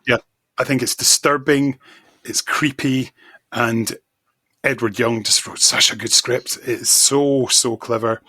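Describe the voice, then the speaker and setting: An enthusiastic man talking casually as a podcast guest, effusive in his praise. His voice is bright and forward with a husky edge, recorded close and dry. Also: medium pitch, steady tone, a little slow, no echo. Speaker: podcast guest, magazine editor. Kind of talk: casual chat, fan discussion.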